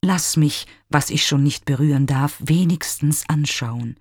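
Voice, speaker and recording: A man voicing a lovesick young man who despairs over his own reflection, speaking in an intimate, mournful, pleading way with breathy whispers. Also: medium pitch, slow, a little mellow, dry recording, clean studio sound, exaggerated voice. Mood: anguished.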